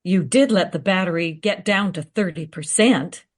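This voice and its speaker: An actress playing a cautious, sensible time traveller in conversational radio play dialogue. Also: female voice, lowish voice, moderate pace, close to an everyday voice. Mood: reasoning, critical.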